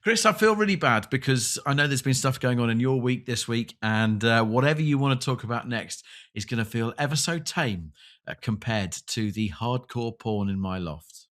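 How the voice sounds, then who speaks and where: A man's voice: medium pitch, animated, normal speed, a bit bright. A man co-hosting a casual podcast, speaking with dry, wry humour. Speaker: podcast co-host. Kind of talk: casual chat.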